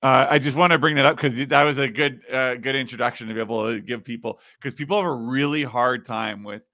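A man in his thirties, slightly raspy, hosting a casual podcast and explaining things with plenty of fillers, recorded in a home studio. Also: medium pitch, fairly even intonation, fast, bright, forceful tone, no echo. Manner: explains while screen-sharing, many fillers. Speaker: podcast host. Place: home studio with neon sign.

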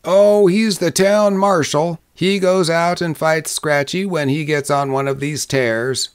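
A man's voice: a little high, fairly even intonation, a little slow, smooth, no echo, clean studio sound, voice pushed up, natural voice. A man voicing a laconic, folksy frontier saloon regular in a storybook Western. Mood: scornful, matter-of-fact. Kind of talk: storybook character voice in a Western tale.

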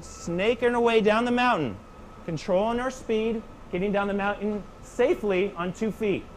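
Male snowboard coach, thirties, higher voice, calm clipped cues, faint hum behind. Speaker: snowboard instructor. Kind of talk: step-by-step instructional demonstration. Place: indoor training slope under a roof.

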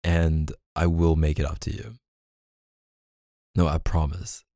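A deep, mellow boyfriend acted by a man in an intimate roleplay audio, soothing a neglected partner. He speaks slowly and casually, hesitating and coaxing in an apologetic way. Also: gravelly, dry recording, studio quality, full character voice. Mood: exasperated, apologetic. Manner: casual, hesitant, sighing, coaxing with pet names. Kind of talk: boyfriend ASMR roleplay, casual intimate one-sided dialogue.

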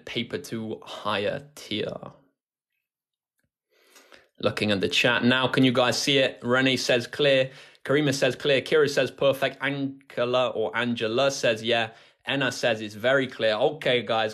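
A man's voice: mid-range voice, fairly bright tone. A man in his twenties with a British accent, hosting a tutoring livestream in an informal, chatty way and speaking directly to viewers. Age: 20s. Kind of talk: casual livestream chat, answering viewer questions. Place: indoors, close to a phone on a tripod.

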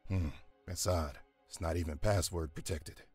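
An actor playing a matter-of-fact, businesslike police investigator in an audio drama. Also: male voice, low voice, steady tone, moderate pace, a little mellow, breathy edge, faint music behind. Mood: brisk, puzzled. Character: businesslike police investigator searching a crime scene.

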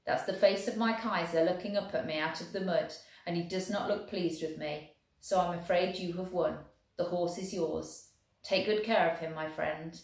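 A woman reading aloud, voicing a tall soldier, formal and gentle. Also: on the low side, normal speed, creaky, heavy reverb, poor audio. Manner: formal, careful.

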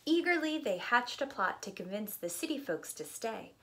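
A middle-aged woman reading a picture book aloud as a preschool teacher, deliberate and expressive. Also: a little high, slight background noise. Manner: slow, deliberate, animated storyteller delivery. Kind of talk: expressive storytime read-aloud. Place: small indoor room with bookshelf.

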